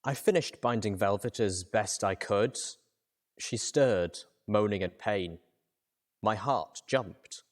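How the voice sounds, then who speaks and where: Deep-voiced man narrating a dramatic story. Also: slow. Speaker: audiobook narrator. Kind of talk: dramatic scripted first-person story narration.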